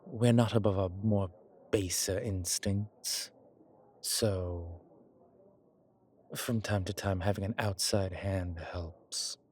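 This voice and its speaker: A man playing a courteous, centuries-old vampire in an intimate audio roleplay, with refined, measured speech, hesitant pauses and formal, old-fashioned wording. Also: low voice, fairly even intonation, a little mellow, husky, full character voice. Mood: measured, explaining.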